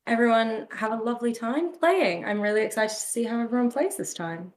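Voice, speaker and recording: A woman's voice: on the high side, brisk. A woman co-commentating on a livestreamed tournament in a casual, conversational style. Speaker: esports co-commentator.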